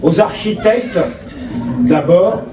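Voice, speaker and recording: A man with an accent from France delivers a formal inauguration speech as a local official, reading in measured phrases and adding asides. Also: sits high, crowd noise. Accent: French from France. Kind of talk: inauguration speech, read from notes with ad-libbed asides. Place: outdoor school forecourt, public address. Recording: roomy echo, distant mic, clear background noise.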